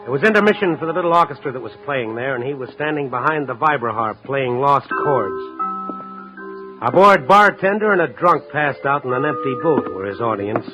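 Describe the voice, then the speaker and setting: An actor playing a tough, dogged night-beat newspaper reporter in a 1950s radio drama, narrating and talking in a terse, urgent, hard-boiled way. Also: male voice, medium pitch, lively intonation, fairly quick, music in the background, lightly acted, rough recording. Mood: brooding narration.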